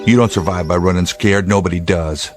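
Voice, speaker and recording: An actor playing a tough, commanding state trooper in a radio drama, giving urgent, clipped orders. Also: male voice, low-pitched, brisk, faint music behind, lightly acted. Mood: firm.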